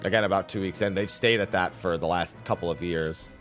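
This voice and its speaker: A man's voice: low voice, fairly even intonation, fairly quick, fairly bright tone, faint music behind. A man chatting casually as he hosts a livestream of a video game. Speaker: game streamer.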